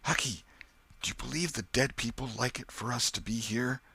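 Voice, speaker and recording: A man voicing a superstitious, frightened young boy trying to be brave, talking in hushed, nervous rustic old-time dialect in a storybook reading. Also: mid-range voice, moderate pace, creaky, slightly rough, pitched above the performer's own voice, exaggerated voice. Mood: uneasy, hushed.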